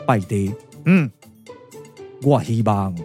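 A solemn, persuasive sage strategist from a Taiwanese puppet radio drama. The voice sits low and moves with slow, weighty deliberation in a Taiwanese accent. Faint music plays behind it. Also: male voice, animated, darkish tone. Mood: hesitant pleading. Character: solemn, calculating sage strategist, persuasive sworn elder brother.

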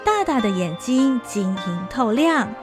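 A woman narrating an audio drama in a smooth, expressive storytelling manner. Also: fairly high pitch, unhurried, music in the background.

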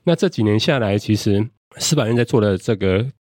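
A man's voice: lowish voice, lively intonation, brisk, a little mellow, no echo, studio quality. A man with a Taiwanese Mandarin accent, an interview guest explaining methodically and often rephrasing as he goes. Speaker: guest judge.

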